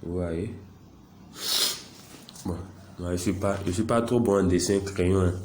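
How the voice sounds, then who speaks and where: A man with a stuffy head cold giving an unhurried, self-deprecating walkthrough of an app, narrating each click. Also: deep voice, noisy background. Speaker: software tutorial presenter. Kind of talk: screen-recorded app tutorial.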